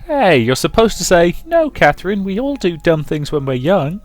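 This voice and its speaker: Man voicing an anxious young woman, pitched up. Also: sing-song. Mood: playful. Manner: halting, earnest, confiding. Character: emotional young woman, tipsy, insecure, affectionate.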